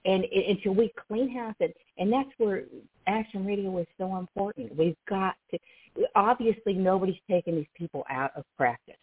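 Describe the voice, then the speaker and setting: Excitable woman guest on a phone line. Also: medium pitch, normal speed, breathy edge. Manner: restarts, excitable and emphatic. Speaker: guest activist. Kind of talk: casual political talk. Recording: phone-like narrow band.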